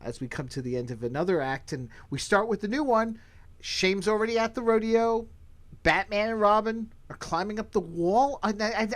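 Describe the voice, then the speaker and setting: Male co-host. Conversational, amused, animated delivery. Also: high voice. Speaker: podcast co-host. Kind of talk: casual humorous episode recap.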